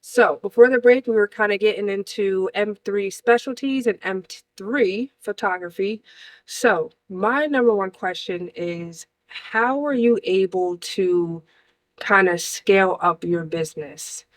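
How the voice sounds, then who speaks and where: A woman's voice: medium pitch, unhurried, rough recording. A woman from New Jersey hosting a casual interview show, upbeat and speaking straight to her audience. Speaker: host.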